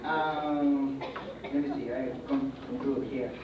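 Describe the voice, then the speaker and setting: A man giving a conference talk as a Buddhist priest, halting and full of fillers. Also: mid-range voice, fairly even intonation, muffled recording. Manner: halting, many fillers, non-native phrasing. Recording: echoey hall from far off, noisy.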